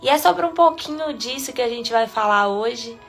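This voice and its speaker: A woman with a Brazilian accent and a congested cold leads a casual live devotional, chatty with soft laughs between phrases. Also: a little high, animated, normal speed, faint music behind. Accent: Brazilian Portuguese. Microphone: phone or webcam livestream.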